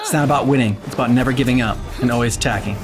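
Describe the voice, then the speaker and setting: A woman's voice: a bass voice, racing. A woman giving casual reaction commentary as a host, with blunt, slangy, mocking asides. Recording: game music playing behind the voice.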